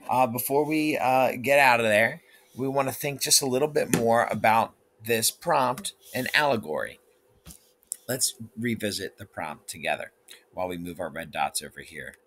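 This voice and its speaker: A man's voice: mid-range voice, moderate pace, fairly bright tone, slight background noise. A male teacher, guiding students through an instructional read-aloud lesson.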